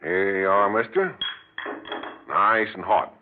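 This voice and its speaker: An actor playing a chatty, good-natured roadside coffee seller in an old-time radio drama, drawling and colloquial, with a rural American accent. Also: male voice, sits low, slow, phone-quality sound, background noise. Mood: friendly. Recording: thin band-limited vintage radio recording.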